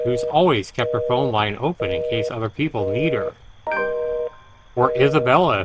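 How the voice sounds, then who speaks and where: A man livestreaming, reading game text aloud like a dramatic storyteller, with casual asides. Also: medium pitch. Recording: clear background noise on stream.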